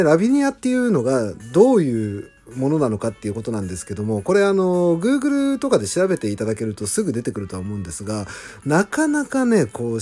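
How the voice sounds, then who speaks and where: A man's voice: medium pitch, warm tone. A man hosting a casual solo behind-the-scenes talk, speaking politely with enthusiastic emphasis.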